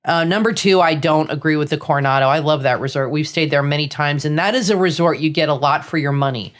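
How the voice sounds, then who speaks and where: A woman's voice: low voice, fast. A middle-aged woman from Florida, co-hosting a casual chat and running through opinions in a chatty, opinionated way. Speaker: co-host.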